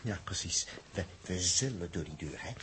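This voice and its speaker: A baffled young spaceman in an old radio serial. His fairly low voice is clipped and jumpy, heard through clear recording noise. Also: expressive, normal speed. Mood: puzzled, busy. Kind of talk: 1960s radio drama acting. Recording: noisy 1960s radio recording.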